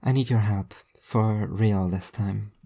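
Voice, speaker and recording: A woman voicing a weary, blunt but earnest devil in a halting, terse way, for a story reading. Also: very deep voice, warm tone, exaggerated voice. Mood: urgent.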